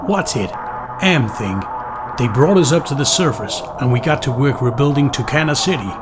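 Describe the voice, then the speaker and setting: An actor playing an eager, informal human colonist assistant in a sci-fi audio drama. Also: male voice, mid-range voice, animated, normal speed, lightly acted. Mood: eager, fumbling. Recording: loud music under the voice.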